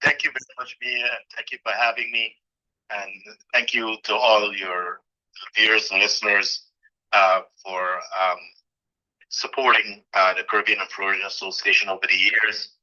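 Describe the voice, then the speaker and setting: A man's voice: on the low side, little pitch movement, moderate pace, pushed and bright. A middle-aged man from Guyana, a guest on an interview show, answering with many fillers and restarts. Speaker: guest, president of a community association. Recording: dull, lo-fi sound.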